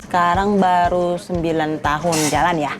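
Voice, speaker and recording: A woman's voice: lowish voice, fairly even intonation, normal speed, background noise. A woman in her thirties answering interview questions in a casual, conversational way. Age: 30s. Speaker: interviewee, mother of two.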